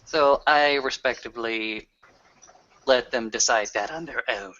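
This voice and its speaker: A man speaking in character in a tabletop roleplaying session, with measured, formal phrasing. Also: mid-range voice, pushed and bright. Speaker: player in a tabletop roleplaying game. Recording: muffled, lo-fi audio.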